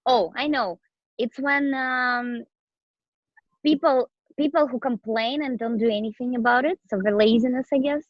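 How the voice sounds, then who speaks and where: A woman's voice: fairly high pitch, normal speed, no room sound, highs cut off. A bubbly woman chatting casually as an interview guest, a professional dancer whose native language may be Romanian. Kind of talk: casual chat.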